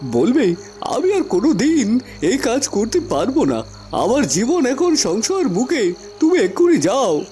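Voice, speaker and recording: A man's voice: very high voice, huge pitch swings, unhurried, warm tone, faint music behind, full character voice. A man playing a scheming, anxious royal minister in a fairy-tale cartoon, with a dramatic, emphatic delivery. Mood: urgent.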